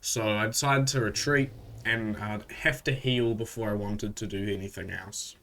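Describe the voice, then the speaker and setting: A man with a stuffy voice from a cold, hosting a casual, relaxed recap of a strategy game with frequent fillers. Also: lowish voice, moderate pace, a little hiss or hum. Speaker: gaming channel host.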